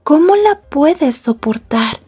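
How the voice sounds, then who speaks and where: Woman doing a possessive yandere schoolgirl gf, high but mellow voice, soft n polite, asmr roleplay. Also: animated, takes it slowly, soft background music. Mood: offended, cool. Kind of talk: Spanish ASMR roleplay acting.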